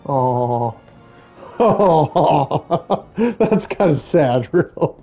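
Male playthrough host, unhurried and rambling, casual, light game music underneath. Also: a little high, lively intonation, creaky, dark tone. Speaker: gaming channel host.

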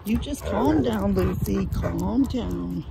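Older lady rambling casually outside, creaky voice, really loud wind noise on a phone. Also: medium pitch, animated, slow. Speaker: vlogger. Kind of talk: casual rambling narration to family while walking a dog. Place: outdoors on a rural driveway on a windy day. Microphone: handheld phone.

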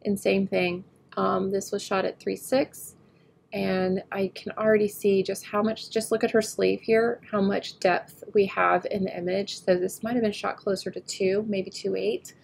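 A woman getting over a head cold, slightly nasal, talking through a photo editing tutorial as she works and often trailing off quietly. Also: medium pitch, flat delivery, unhurried, gravelly, a little hiss or hum. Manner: thinks aloud while working, often trailing off quietly. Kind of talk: screen-recorded editing tutorial.

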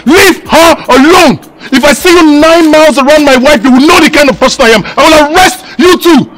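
A man's voice: very high-pitched, brisk, noisy background. A man with a Nigerian accent playing a jealous, domineering husband in a film drama, scolding and commanding and repeating himself. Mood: angry, threatening. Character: jealous, domineering husband guarding his young village wife.

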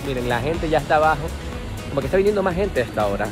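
A man's voice: fairly high pitch, animated, very fast. A man, chatting jokily to his phone camera outdoors in a casual travel vlog. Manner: chatty, jokey, laughing often. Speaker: vlogger. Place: outdoors at a riverside park. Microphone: phone camera at arm's length. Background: background music.

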